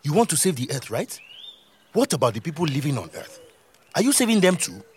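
A man's voice: on the high side, big pitch swings, fairly quick, breathy edge, heavily acted. A man with a Nigerian accent acting a stubborn, mocking village community leader in an audio drama, arguing with rhetorical questions. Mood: scornful, challenging.

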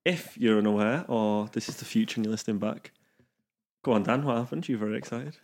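A man's voice: fairly low pitch, fast, a little mellow. A man co-hosting a podcast, joking and laughing in casual chat. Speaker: podcast co-host.

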